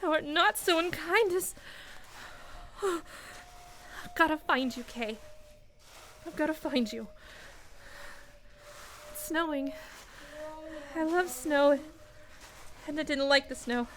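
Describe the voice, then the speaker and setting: A frightened young woman played by an actress in a radio drama, high in pitch over faint background noise. She is protective and pleading, her phrases halting and repeated. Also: fairly bright tone. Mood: frantic searching. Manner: anxious, pleading, halting and repetitive. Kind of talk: radio play acting.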